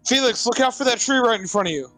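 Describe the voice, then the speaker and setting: A man's voice: very high-pitched, lively intonation, fast talker, bright, forceful tone, soft background music. A man playing a tabletop game, talking casually and insistently as he roleplays.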